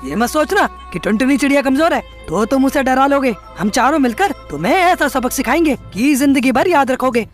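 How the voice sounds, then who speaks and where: A woman's voice: sits high, expressive, fairly quick, hardly any pauses, faint music behind. A woman voicing a caring, protective male bird neighbour in an earnest, reassuring manner for a children's cartoon. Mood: defiant.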